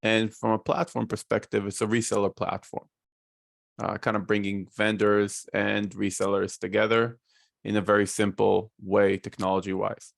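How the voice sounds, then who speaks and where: A man in his forties from Israel with an Israeli accent, a guest on a video call explaining his business in a measured way with fillers. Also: lowish voice, little pitch movement, vocal fry, no room sound, studio quality. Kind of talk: casual interview talk.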